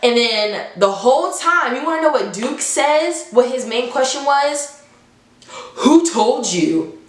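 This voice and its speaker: A woman's voice: sits high, lively intonation, slow pace. A young adult woman telling a story to viewers in a casual, slangy, conversational way, dramatically re-enacting what people said. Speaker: storytime vlogger. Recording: echoey room.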